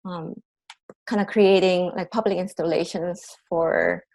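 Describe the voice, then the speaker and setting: A woman's voice: lowish voice, fairly even intonation, unhurried, a little mellow. A woman in her fifties from South Korea with a Korean accent, talking in a casual interview, thoughtful, with many fillers and restarts. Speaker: massage therapist and dancer.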